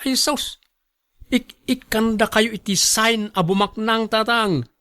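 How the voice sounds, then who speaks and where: A man playing a stubborn, excitable young son in a radio drama, with a whining, eager way of arguing. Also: sits high, takes it slowly, full character voice. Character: stubborn, excitable grown son dreaming of riches. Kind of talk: Ilocano radio drama acting.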